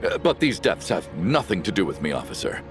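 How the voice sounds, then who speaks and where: A man's voice: low-pitched, sing-song, fairly quick, soft background music. An actor playing a nervous, stammering man who defends himself after finding two bodies in a game. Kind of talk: game voice acting.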